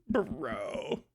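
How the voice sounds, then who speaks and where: A man's voice: medium pitch, fairly bright tone, slightly rough. A man hosting a casual livestream commentary, swearing heavily in an exasperated, incredulous way. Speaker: livestream host.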